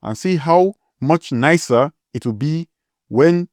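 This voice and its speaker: A man's voice: a little high, takes it slowly, no echo, clean studio sound. Nigerian man explaining a tutorial. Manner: steady, explaining step by step, spells out words letter by letter. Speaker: tutorial host.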